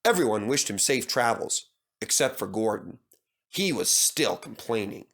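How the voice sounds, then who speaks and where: A man's voice: lowish voice, sing-song, normal speed, fairly bright tone. A man reading a scripted children's story as the storyteller.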